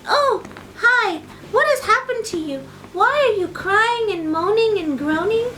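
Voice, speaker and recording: A woman's voice: very high voice, animated, measured pace, sharp tone, slight background noise, pitched above the performer's own voice, exaggerated voice, rough recording. A woman voicing a friendly, helpful young lion cub in a bedtime story, eager and full of questions. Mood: curious concern.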